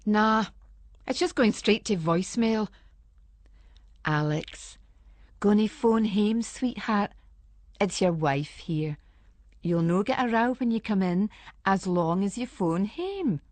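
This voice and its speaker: A woman's voice: medium pitch, no echo. A woman playing an anxious Scottish wife in a radio monologue, talking in colloquial Scots as if to a friend. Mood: worried. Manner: colloquial, conversational, addressing an unseen friend. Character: anxious, chatty Scottish wife fretting over missing husband. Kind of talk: dramatic monologue in Scots dialect, radio story reading.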